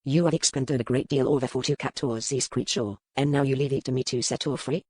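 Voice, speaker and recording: A man playing a smooth, probing French merchant with a French accent in a historical romance audiobook. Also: mid-range voice, fairly even intonation, a little fast, darkish tone, no room sound, lightly acted. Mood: probing, persuasive. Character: suave, probing French merchant in colonial port. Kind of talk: dramatised audiobook dialogue.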